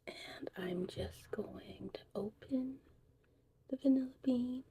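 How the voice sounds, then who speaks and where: A woman speaking mostly in a soothing, slow whisper, as a gentle mystical witch in an ASMR roleplay. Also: fairly high pitch. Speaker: ASMR creator playing a witch who teaches potion making. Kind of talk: whispered ASMR roleplay, guided breathing and narration. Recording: clearly noisy, vintage VHS-tape styled audio.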